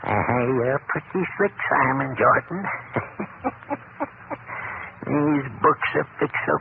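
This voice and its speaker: A man's voice: mid-range voice, slow, gravelly. A man playing a cruel, greedy old schemer with a snarling, gloating manner in an old-time radio drama. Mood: smug gloating. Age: older. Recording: scratchy, tinny, noisy vintage radio recording.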